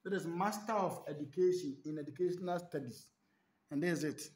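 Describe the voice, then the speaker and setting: A man's voice: on the high side, brisk, darkish tone. A man in his thirties from Ghana with a Ghanaian English accent, introducing himself as a teacher in an earnest, emphatic way. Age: 30s. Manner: earnest, emphatic, listing repetitively. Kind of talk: self-introduction video for job applications. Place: large indoor hall with stacked chairs. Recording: echoey room.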